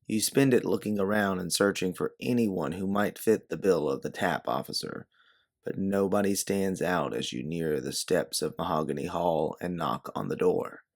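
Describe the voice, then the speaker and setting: Guy narrating a story in second person, deep voice with lots of fry. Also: normal speed. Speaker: narrator and author.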